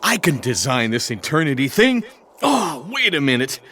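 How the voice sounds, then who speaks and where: Actor as a grumbling, animated alien builder, high-voiced. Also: male voice, moderate pace. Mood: musing, inspired. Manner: grumbling, self-absorbed. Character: listless, burned-out alien master builder, male, scheming dreamer. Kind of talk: comic sci-fi audio theatre acting. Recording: clear background noise and ambience.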